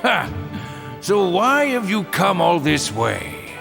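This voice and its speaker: A genteel old-school professor of magic in a fantasy game. He speaks extremely slowly in a gravelly, creaking voice that swoops widely in pitch. His manner is wry and courteous. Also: huge pitch swings, full character voice. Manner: slow, deliberate, courteous. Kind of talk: English-dubbed fantasy game cutscene acting. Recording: game music behind the voice.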